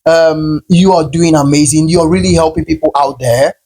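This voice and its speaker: A man's voice: on the high side, steady tone, moderate pace, a little mellow, slightly rough. A man hosting a casual podcast interview, talking with enthusiasm and heaping praise on his guest. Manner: enthusiastic, encouraging, repeats praise. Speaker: podcast host.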